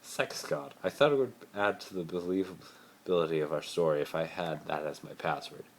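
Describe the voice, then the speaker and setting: A man's voice: deep voice, normal speed, creaky. A man voicing a haughty, snappy teenage boy in a story reading, with an affected, whiny delivery. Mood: smug. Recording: noisy amateur phone recording.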